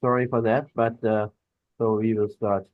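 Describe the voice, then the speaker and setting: A man's voice: lowish voice, little pitch movement, normal speed, no room sound. Hesitant man on a dull call. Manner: hesitant, many "uh" fillers. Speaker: invited speaker. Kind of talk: online presentation. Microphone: video call. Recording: dull, dry video-call audio.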